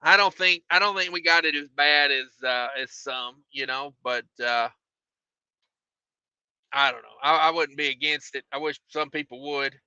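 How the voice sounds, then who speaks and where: A man hosting a trucking livestream, reading viewer comments in a relaxed, rambling way with many fillers and repeated phrases, his voice getting tired and hoarse. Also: fairly high pitch, moderate pace, no echo, muffled recording. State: losing his voice.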